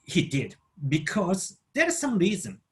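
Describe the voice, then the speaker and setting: A Japanese man speaking English as a second language with a Japanese accent, explaining a book in a halting way as he searches for words. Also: sits high, huge pitch swings. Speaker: book channel host.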